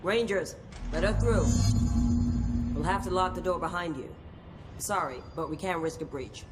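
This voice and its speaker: An actress playing a stern, guarded ranger commander in a video game, speaking firmly and to the point. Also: female voice, lowish voice, normal speed, over loud music, lightly acted. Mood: brisk.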